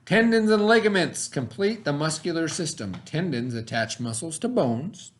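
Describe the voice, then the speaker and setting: A man teaching by reading aloud to pupils, pausing to explain in casual asides and check that they follow. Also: a little high, lively intonation. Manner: pauses to explain, checks in with "okay?".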